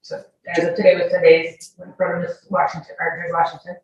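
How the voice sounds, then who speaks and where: A woman's voice: fairly low pitch, completely flat delivery, fairly quick, lo-fi sound. A woman testifying as a witness in a courtroom in an informal, rambling, colloquial way. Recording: echoey courtroom, distant mic, faint noise.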